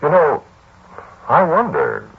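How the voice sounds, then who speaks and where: A man's voice: fairly high pitch, huge pitch swings, slow, creaky, slightly rough, phone-quality sound, exaggerated voice. An actor playing a brooding young lodger, a mathematician, confiding his story in 1940s radio drama style on an old broadcast recording. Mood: concerned, insistent. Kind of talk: 1940s radio acting, first-person narration and dialogue.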